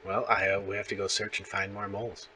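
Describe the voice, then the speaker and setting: A man's voice: lowish voice, level tone, quick pace, pushed and bright. A man hosting a casual interview, talking like an enthusiastic fan. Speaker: interviewer, host.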